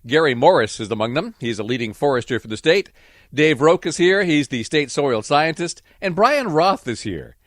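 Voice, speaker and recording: A man hosting and narrating a radio nature program in a clear, storytelling delivery. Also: on the high side, lively intonation, moderate pace, no room sound, clean studio sound. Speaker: radio show host and narrator.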